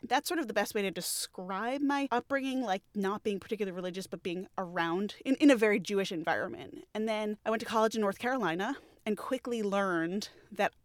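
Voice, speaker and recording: A woman's voice: mid-range voice, brisk, hardly any pauses, no echo, clean studio sound. A woman from New York in her thirties, a podcast co-host chatting in an interview, self-deprecating and laughing often. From New York, United States. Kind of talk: casual interview conversation.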